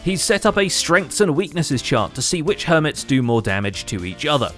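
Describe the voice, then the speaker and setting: A man's voice: on the high side, big pitch swings, normal speed, a bit bright, faint music behind. A man hosting a gaming recap show, reading scripted narration in a wry manner.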